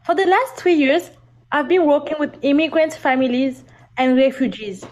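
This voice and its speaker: A woman from the Democratic Republic of the Congo and a community outreach worker, giving a steady, scripted video introduction. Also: sits high, moderate pace.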